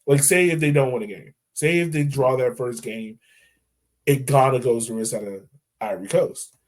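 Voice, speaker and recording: A man's voice: mid-range voice, moderate pace. A man co-hosting a sports podcast in casual chat, rambling, correcting himself mid-sentence and laughing easily. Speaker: podcast co-host.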